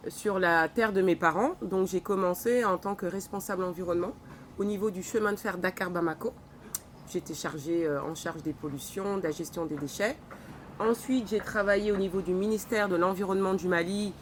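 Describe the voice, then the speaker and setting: A woman in her forties from France, a podcast guest who talks in a measured, thoughtful way in casual conversation. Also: mid-range voice. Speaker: guest, environmental engineer. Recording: faint background noise.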